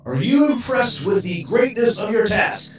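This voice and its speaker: A woman playing a commanding, merciless telescreen of a totalitarian state in a radio drama, stern and declamatory through a loudspeaker effect. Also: fairly high pitch, lively intonation, a little slow, faint music behind. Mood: stern interrogation. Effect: echoing public-address loudspeaker. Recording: heavily echoing, distant loudspeaker sound.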